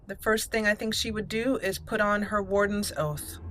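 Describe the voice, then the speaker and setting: A woman doing casual roleplay game commentary as a channel host, thinking aloud deliberately and reading out menu items. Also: mid-range voice, little pitch movement, fairly bright tone, poor audio. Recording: noticeable background noise and game ambience.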